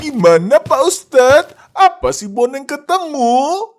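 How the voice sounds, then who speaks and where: Woman playing swooping high-voiced eager young lad. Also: sing-song, slow, background noise, heavily acted. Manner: exclamatory, deferential. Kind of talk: animated cartoon dialogue.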